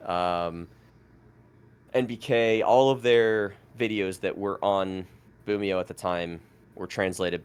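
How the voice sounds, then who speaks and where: Deep-voiced rambling man, French native. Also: smooth. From France. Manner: rambling, lots of "like" fillers and restarts. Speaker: podcast guest. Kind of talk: casual storytelling interview.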